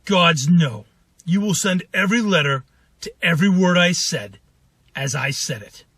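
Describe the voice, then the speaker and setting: A man's voice: a little high, expressive, unhurried, a bit bright, husky, full character voice. A man playing an arrogant, wrathful prince in an audio drama, pompous and scornful in his theatrical delivery. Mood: angry, contemptuous. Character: arrogant, wrathful, imperious prince dictating letters.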